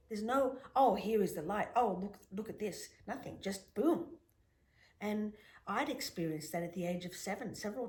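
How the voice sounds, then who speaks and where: Animated woman, Greek mother tongue. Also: mid-range voice. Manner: animated, emphatic, drops to soft whispers. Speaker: guest, spiritual healer. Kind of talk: reflective storytelling interview.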